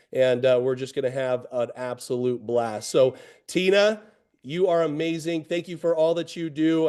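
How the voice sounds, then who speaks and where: A hoarse, pitched-up male voice, bursting with enthusiasm. He repeats words for emphasis and scatters fillers through his pep talk. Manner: excited, repeats phrases, many fillers. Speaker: company executive. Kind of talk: motivational team address.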